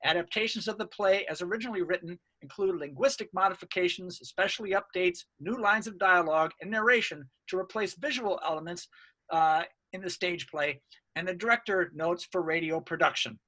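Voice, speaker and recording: A man's voice: high-pitched, fairly quick, sharp tone, rough recording. A man reading out a scripted academic paper as a researcher, in a formal and earnest manner. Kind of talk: scripted academic presentation, read aloud.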